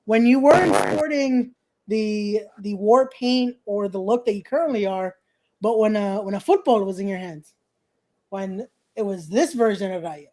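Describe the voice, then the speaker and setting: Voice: medium pitch, normal speed, warm tone, low-quality recording. A podcast host, originally from Ecuador, interviewing a guest in casual chat and often repeating words while searching for them.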